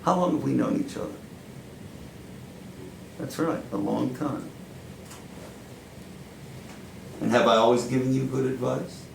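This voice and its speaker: Man acting gravelly New power lawyer, fatherly. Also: mid-range voice, darkish tone. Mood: insistent, coaxing. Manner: deliberate, emphatic, persuasive. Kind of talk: dramatic stage monologue. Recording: roomy echo, faint background noise.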